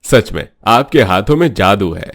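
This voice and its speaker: A man's voice: lowish voice, animated, unhurried, no echo, clean studio sound. A man voicing a polite, friendly young man who praises a cook in a cartoon story. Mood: admiring. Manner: warm, complimentary.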